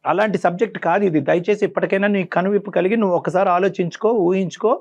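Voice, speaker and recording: Brief: male doctor-counselor, quick and gravelly, earnest and persuasive, lo-fi dull recording. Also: high-pitched, steady tone, a little mellow. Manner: earnest, persuasive, pleading repeatedly. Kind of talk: on-air counseling talk. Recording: dull lo-fi sound.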